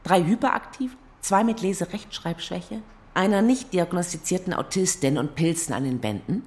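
A woman's voice: fairly low pitch, animated, normal speed, a little mellow, husky. An actress playing an exasperated, sarcastic girlfriend who teaches school, in naturalistic, colloquial radio play dialogue. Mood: defiant.

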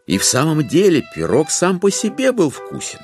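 A man's voice: on the high side, huge pitch swings, moderate pace, darkish tone, faint music behind. A man narrating a literary audio play as a storyteller, in a studio production.

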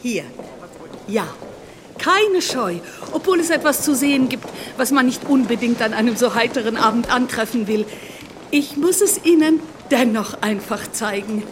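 An actress playing an effusive, theatrical high-society hostess and former opera diva in a radio drama, affected and dramatic. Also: female voice, moderate pace, background noise, lightly acted. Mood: gushing.

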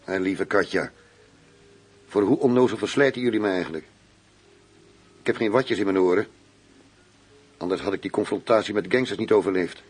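An actor playing a worldly, self-assured German undercover police chief, teasing and intimate in a radio play. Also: male voice, low voice, normal speed, long pauses, husky. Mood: pointed, mocking.

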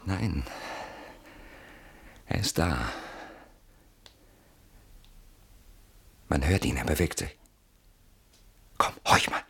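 An actor playing a frightened, suspicious man in a radio play, speaking in a hushed, agitated and insistent way. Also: a bass voice, animated, slow, vocal fry, husky, full character voice. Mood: tense, secretive. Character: frightened, suspicious man hiding from a stalker at night. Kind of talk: German radio play acting.

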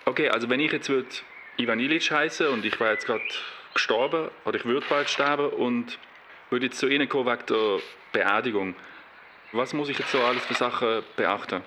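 A man's voice: medium pitch, fairly quick. A man, talking conversationally as an interviewer. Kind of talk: conversational questioning. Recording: clear background noise, clicks.

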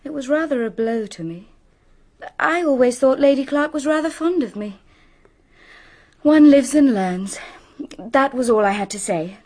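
High-voiced, proper young Englishwoman. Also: unhurried, pauses often, a little mellow. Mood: wounded, composed. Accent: English, received pronunciation. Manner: polite, careful, formal. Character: composed, proper young Englishwoman, proudly candid. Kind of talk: radio drama acting.

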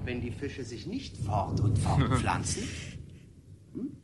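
A stern, pedantic schoolmaster in a radio drama. His delivery is slow and forceful, swinging widely in pitch as he drills and lectures. There is noise behind him. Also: sing-song. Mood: pedantic lecturing. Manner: barking commands, pedantic, rhetorical questions. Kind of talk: German radio drama acting.

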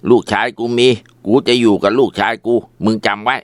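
A man's voice: lowish voice, steady tone, brisk, no room sound, close to an everyday voice. A man voicing an older mother who scolds in coarse, cutting insults. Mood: spiteful.

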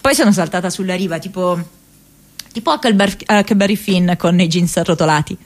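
A woman's voice: fairly low pitch, fairly quick, close to an everyday voice. An actress playing a restless, lovelorn and self-mocking young woman in a theatrical, emotional radio monologue. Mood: quiet, reflective. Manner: theatrical, emotional, with repeated phrases.

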